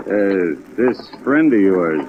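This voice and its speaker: Low-voiced, wary small-time ex-con. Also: male voice, lively intonation, slow, background noise. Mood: suspicious. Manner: slangy, offhand, guarded. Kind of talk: 1950s radio drama acting. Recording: tinny old radio recording, music behind.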